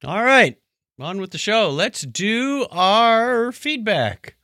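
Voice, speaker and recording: A man co-hosting a casual podcast, reading listener comments aloud with a jokey, animated manner. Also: high-pitched, slow, dry recording, studio quality. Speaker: podcast co-host.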